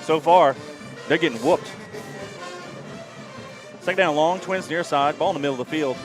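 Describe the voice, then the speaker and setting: A man's voice: on the high side, moderate pace. Man calling home-team football play-by-play. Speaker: play-by-play announcer. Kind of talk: high school football play-by-play. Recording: music in background.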